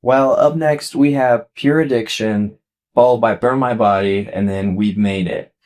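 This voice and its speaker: A man's voice: medium pitch, steady tone, unhurried, darkish tone. A man hosting a college radio show, chatting casually and informally with a guest. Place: radio station studio.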